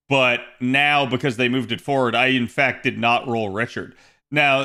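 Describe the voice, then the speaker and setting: Hoarse, rambling man streaming casually. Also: mid-range voice, pushed and bright. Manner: rambling, self-correcting, many fillers. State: hoarse, slightly raspy voice. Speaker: game streamer. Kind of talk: casual live-stream chat, talking to viewers. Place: home.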